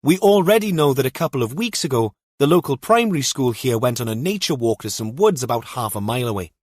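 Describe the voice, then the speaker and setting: Man acting a high, projected TV news correspondent. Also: lively intonation, fast talker, dry recording, clean studio sound, close to an everyday voice. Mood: urgent, formal. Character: earnest male TV news correspondent reporting live from scene. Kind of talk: live news report.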